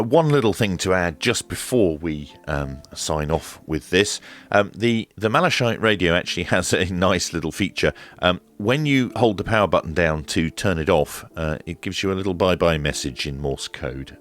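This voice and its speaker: Very deep-voiced man reviewing gear. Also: expressive, normal speed, few pauses, a bit bright. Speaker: presenter of a radio equipment review. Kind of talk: hands-on demonstration and review commentary.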